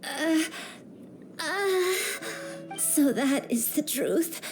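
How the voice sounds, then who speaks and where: A woman voicing a gentle older grandmother in a video game, soft, hesitant and affectionate. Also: high-pitched, expressive, extremely slow, music in the background, full character voice. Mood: dazed, moved. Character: gentle, kindly grandmother recovering her past.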